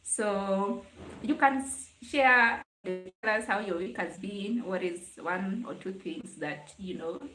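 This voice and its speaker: A woman's voice: medium pitch, takes it slowly. A young adult woman with a Kenyan accent hosts a casual live chat, talking conversationally to her viewers. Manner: conversational, greeting and answering commenters. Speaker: livestream host. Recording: roomy, echoing live stream sound.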